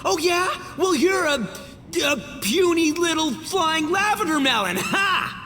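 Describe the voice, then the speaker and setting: An actor playing a cocky, hot-headed, goofy young gang boss in a video game, with a boisterous swagger. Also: male voice, extremely high pitch, animated, measured pace, very noisy. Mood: indignant. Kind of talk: animated video game voice acting. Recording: long reverb with game music behind.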